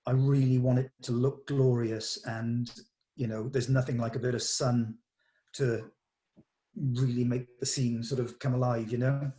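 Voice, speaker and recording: A man's voice: medium pitch, level tone, measured pace, mellow. A man talking casually in a production meeting as a film director, hesitantly thinking aloud.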